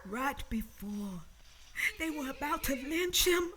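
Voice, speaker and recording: A woman's voice: high-pitched, lively intonation, takes it slowly, fairly bright tone, slight background noise. A woman narrating a radio drama in a solemn, emphatic and intense way. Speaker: storyteller.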